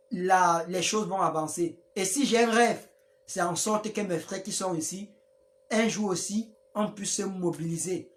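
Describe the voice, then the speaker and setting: A speaker, giving a rambling, preachy live-stream address to followers, with many restarts. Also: medium pitch. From Africa.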